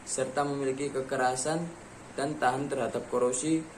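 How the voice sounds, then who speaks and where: A man at an everyday middle pitch reads a scripted technical lesson, calmly moving from one numbered point to the next. A faint hum of ambience sits under the voice-over. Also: moderate pace, low-quality recording. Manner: reads steadily from a script, listing steps in order. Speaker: student presenter.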